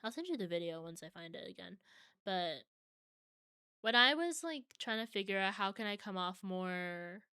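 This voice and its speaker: A woman's voice: medium pitch, animated, moderate pace, bright, forceful tone, smooth, dry recording, studio quality. A young adult woman streaming from home, chatting casually with viewers and answering their comments.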